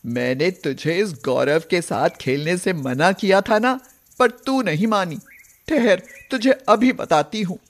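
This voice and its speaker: A woman voicing a cruel, sharp-tongued stepmother cow in an animated moral story. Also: lowish voice, a little slow. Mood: angry. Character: cruel stepmother cow, sweet outside, bitter inside.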